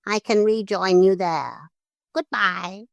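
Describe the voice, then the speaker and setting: A woman's voice: mid-range voice, takes it slowly, gravelly, no room sound, pitched above the performer's own voice. A woman voicing a fussy, formal male parrot who scolds and threatens politely. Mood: prim scolding. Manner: prim, formal, pompous.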